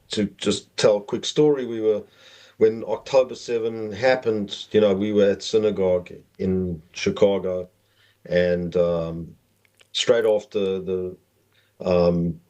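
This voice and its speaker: A middle-aged man with a South African accent, telling personal stories conversationally as a podcast guest in a halting, meandering way. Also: fairly low pitch, warm tone.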